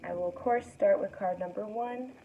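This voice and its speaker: A young woman hosts a calm tarot reading, her voice raspy from an early start. She sounds thin and tinny, like a phone line, and slightly far from the mic. A faint hiss sits under her gentle, guiding delivery. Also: mid-range voice, moderate pace. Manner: relaxed, soothing, guides breathing. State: raspy morning voice. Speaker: tarot card reader. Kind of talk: casual spiritual reading to camera.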